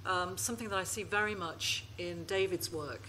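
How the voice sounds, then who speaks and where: A woman's voice: fairly low pitch, moderate pace, a little hiss or hum, poor audio. A woman hosting a literary panel on stage, hesitant and full of ums as she thanks the writers and poses questions. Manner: warm-hearted, hesitant, with many ums. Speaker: host and panel moderator. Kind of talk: moderating a live literary panel. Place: festival stage before a live audience.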